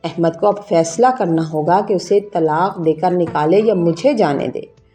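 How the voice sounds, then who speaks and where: A woman's voice: lowish voice, dark tone. A woman reading a scripted, emotional first-person story as its narrator. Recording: music bed behind narration. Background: background music.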